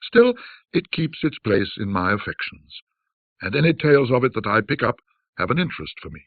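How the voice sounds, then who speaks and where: Male narrator for literary ghost tales: husky, expressive intonation, measured and reflective. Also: medium pitch, no room sound, phone-quality sound. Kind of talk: scripted ghost story narration in classic British storytelling manner.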